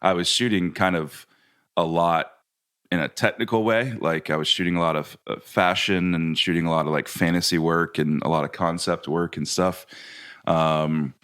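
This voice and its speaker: Man, Kentucky accent, very low voice, easygoing podcast guest, lots of ums. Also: moderate pace, smooth. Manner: relaxed, laughing, many fillers and restarts. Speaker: guest photographer. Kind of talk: casual podcast interview. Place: video call from home.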